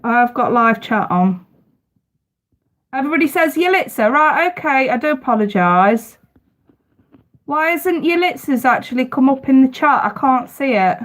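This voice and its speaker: Congested woman, somewhat high voice. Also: animated, moderate pace, fairly bright tone. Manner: chatty, addresses viewers directly. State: congested, bunged up. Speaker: tarot reader hosting a live stream. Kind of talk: casual live-stream chat.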